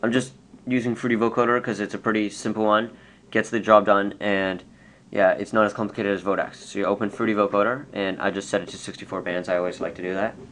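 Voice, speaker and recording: Male tutorial presenter, lowish pitch, informal and unscripted, walks through steps, slightly noisy home-style recording. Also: level tone, fast, a bit bright. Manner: casual, self-correcting, step-by-step explaining. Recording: clear background noise.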